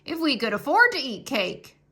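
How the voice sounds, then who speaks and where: A woman's voice: high-pitched, very animated, normal speed, bright, forceful tone, breathy edge, exaggerated voice. A woman voicing a poor, grumbling older man who exclaims in indignation. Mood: indignant.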